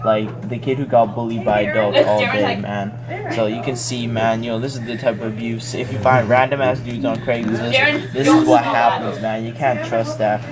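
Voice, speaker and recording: A man's voice: mid-range voice, animated, normal speed, loud music behind the voice. A young man retelling a picture book as a joke, in slangy, rambling, casual talk.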